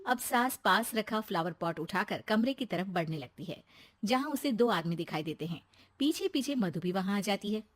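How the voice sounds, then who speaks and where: A woman's voice: medium pitch, expressive, normal speed. A woman narrating a scripted children's story in the present tense.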